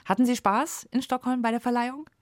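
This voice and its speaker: A woman hosting a radio interview, asking calm, probing questions from a studio. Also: fairly high pitch, a little fast, dry recording. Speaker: radio host.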